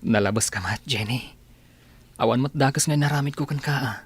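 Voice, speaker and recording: Man playing a husky, humble young village suitor. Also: medium pitch, brisk, no echo. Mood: hurt, pleading. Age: young adult. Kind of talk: radio drama acting.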